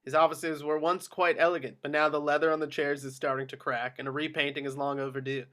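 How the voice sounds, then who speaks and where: A man's voice: fairly high pitch, little pitch movement, fast talker, bright, forceful tone. A man reading screenplay action descriptions as scripted narration at a table read. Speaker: reader of stage directions.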